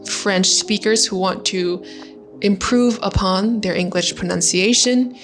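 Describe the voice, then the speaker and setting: Slow-talking woman teaching pronunciation. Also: mid-range voice, soft background music. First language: Vietnamese. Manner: slow, careful demonstration of sounds. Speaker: language teacher. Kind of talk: pronunciation lesson to camera.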